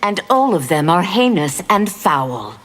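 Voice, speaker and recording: A woman's voice: fairly low pitch, sing-song, measured pace, background noise. A woman playing a zealous, righteous demon in a fighting game, solemn, earnest and formal. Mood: stern. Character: Ashrah, righteous female demon, zealous foe of evil. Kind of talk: fighting game character acting.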